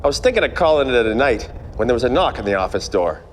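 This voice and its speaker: A man's voice: medium pitch, quick pace, natural voice. Man playing world-weary private eye, echoey hall. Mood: weary, wry. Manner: wry, world-weary, deadpan. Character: weary, cynical male hard-boiled 1940s private eye. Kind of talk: noir radio play narration. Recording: echoey hall, background noise.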